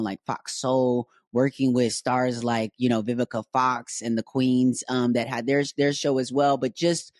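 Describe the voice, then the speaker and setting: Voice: mid-range voice, no echo, studio quality. A host from the Midwest interviewing a guest in a sassy, playful way with lots of slang. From Racine, Wisconsin. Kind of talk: casual interview talk.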